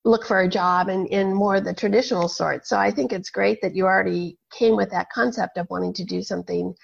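A woman hosting a podcast, asking questions in a halting way with many ums. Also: mid-range voice, steady tone, creaky, breathy edge. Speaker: podcast host. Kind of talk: casual interview chat. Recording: muffled lo-fi audio.

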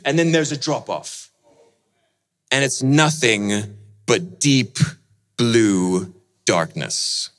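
A man preaching as he tells a dramatic personal story, swinging between lively and hushed delivery. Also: medium pitch. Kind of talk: sermon storytelling.

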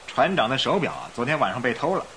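Actor as a lively, jovial gossiping gentleman. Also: mid-range voice, quick pace, very noisy, lightly acted. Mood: amused, gossipy. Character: jovial gossipy first-class male passenger. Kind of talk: Chinese radio drama acting. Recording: radio drama with music behind.

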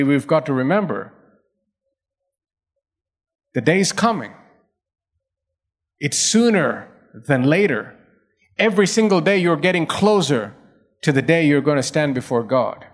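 High-voiced preaching man. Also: sing-song, unhurried, pauses often. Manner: preaching with rising emphasis and repetition. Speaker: pastor. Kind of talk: sermon.

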